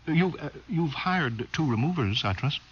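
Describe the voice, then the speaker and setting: An actor playing a fussy, effusive official who prods and gushes over ceremony, in 1950s radio drama style. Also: male voice, fairly high pitch, lively intonation, moderate pace, husky. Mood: rapturous. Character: fussy, gushing official obsessed with ceremony and pageantry. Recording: muffled vintage radio recording with music.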